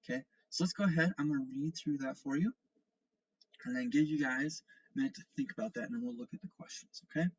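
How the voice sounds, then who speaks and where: Male teacher for a recorded online school lesson, husky voice, explanatory delivery with frequent check-ins, dry close sound. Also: on the high side, lively intonation, quick pace, no echo, highs cut off. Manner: explanatory, often checking in with "okay" and "all right". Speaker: seventh-grade teacher. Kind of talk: remote lesson instructions and read-aloud.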